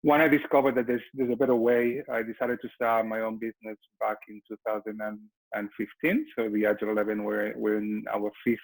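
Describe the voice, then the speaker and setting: A man's voice: fairly low pitch, little pitch movement, a little fast, low-quality recording. A middle-aged man from Spain with a Spanish accent, presenting a webinar as a company founder and often restarting and repeating his words. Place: Melbourne.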